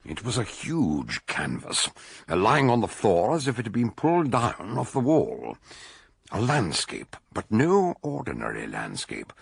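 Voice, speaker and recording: An actor playing a stout, wry doctor detective in a radio drama, theatrical and droll, gravelly and husky. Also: male voice, lowish voice, wildly swooping pitch, measured pace, dry recording, studio quality, exaggerated voice. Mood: grave, storytelling. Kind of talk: radio drama acting and storytelling narration.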